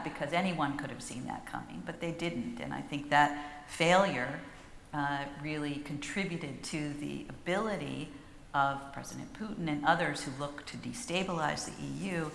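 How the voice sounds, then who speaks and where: A woman with a low voice explains policy to a panel, measured and deliberate, often pausing on fillers. Her voice rings through a large hall with a long, roomy reverb and faint ambience behind it. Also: creaky, fairly bright tone, slight background noise. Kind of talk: panel discussion.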